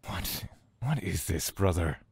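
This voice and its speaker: Grave, deep-voiced stern god of death. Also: male voice, animated, slow, gravelly, breathy edge, no room sound, exaggerated voice. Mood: cold, impatient. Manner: stiff, curt, commanding. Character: stern, dutiful god of death, elder-seeming brother. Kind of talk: dramatic fantasy audio drama acting.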